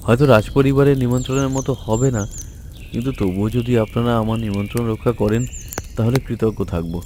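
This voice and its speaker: A man's voice: mid-range voice, quick pace, a little mellow, a little hiss or hum, lightly acted. A man playing a humble, grateful village father in a fairy-tale cartoon, deferential and pleading.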